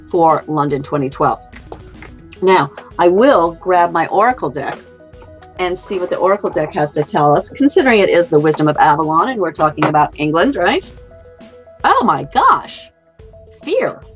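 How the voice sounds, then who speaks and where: A woman giving a casual, unscripted tarot reading in a conversational, musing way. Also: mid-range voice. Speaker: tarot card reader. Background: faint music.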